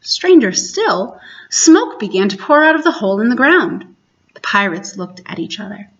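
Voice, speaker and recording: Woman reading a bedtime story, dull audio. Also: medium pitch. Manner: measured, expressive read-aloud with dramatic emphasis. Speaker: library storyteller, host.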